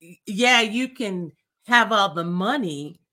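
Bright-voiced woman in her fifties. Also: mid-range voice, expressive, slow. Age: 50s. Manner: earnest, encouraging, with frequent restarts. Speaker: therapist, co-host. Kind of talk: faith talk conversation.

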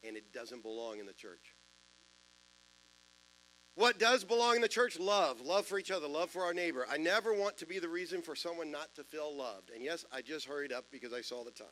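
A man in his fifties with a deep voice, preaching a sermon in a church in a conversational way, with self-deprecating jokes and asides. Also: animated, brisk, a bit bright, low-quality recording. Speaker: pastor. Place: church sanctuary.

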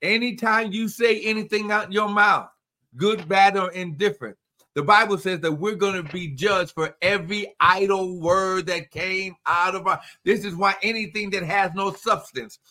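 A man talking in an emphatic preaching cadence, calling out to listeners as he goes. His voice sits fairly high for a man and sounds bright and forceful. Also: steady tone, normal speed. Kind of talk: preaching conversation.

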